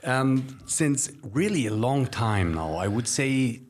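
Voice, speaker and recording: A man's voice: mid-range voice, lively intonation, slow. A man from Germany hosting a podcast in English with a German accent. He is hesitant and uses many ums and restarts. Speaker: podcast host. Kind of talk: casual conversational interview.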